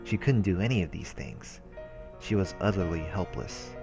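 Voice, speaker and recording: A man's voice: low-pitched, normal speed. A man reading visual novel text aloud as a let's play host, in a narrating style. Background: soft game music.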